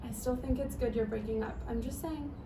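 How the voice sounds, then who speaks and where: An actress reads a skeptical, plain-spoken friend in a stage drama. Her voice sits high and she delivers frank opinions matter-of-factly. The sound is distant and noisy. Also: fairly even intonation, brisk, close to an everyday voice. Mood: blunt, skeptical. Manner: blunt, matter-of-fact. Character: blunt, skeptical woman friend on the phone. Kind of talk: naturalistic play table read. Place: echoey room at a live table read. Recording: echoey, noisy room, distant mic.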